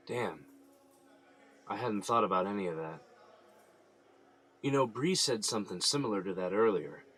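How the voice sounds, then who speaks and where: A man's voice: on the low side, lively intonation, unhurried, pauses often, fairly bright tone. An American man playing an earnest, troubled prisoner who insists on his innocence, speaking plainly in an audio drama. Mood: reflective, grateful. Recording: noticeable background ambient noise.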